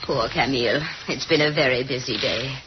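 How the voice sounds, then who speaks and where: A woman's voice: a bass voice, fairly quick. An actress playing a middle-aged French mother in an old radio horror drama, dry and composed. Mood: wry, tired. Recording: muffled, noisy vintage radio.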